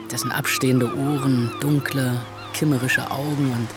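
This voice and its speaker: An actor in a German radio play playing a fanatical, lustful, antisemitic man who declaims self-importantly with hesitant pauses. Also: medium pitch, natural voice. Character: fanatical, lustful antisemitic man, preaching free love among men.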